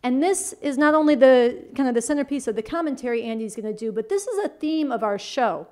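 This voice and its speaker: Higher-voiced woman hosting a political show. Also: animated, a little fast, dark tone. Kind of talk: talk show introduction of segments.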